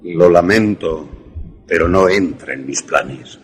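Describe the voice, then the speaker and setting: An actor playing a vengeful, coldly polite mad professor in a radio suspense drama, speaking formally. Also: male voice, low voice, soft background music. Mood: cold politeness. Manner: formal, measured, sardonic.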